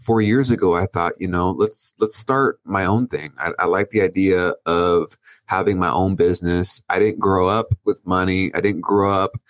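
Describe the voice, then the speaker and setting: A man from Southern California, a guest on a podcast, telling his story in a relaxed way with small restarts. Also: sits low, normal speed, no room sound. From Oceanside, California, USA. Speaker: guest, business owner. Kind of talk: casual interview.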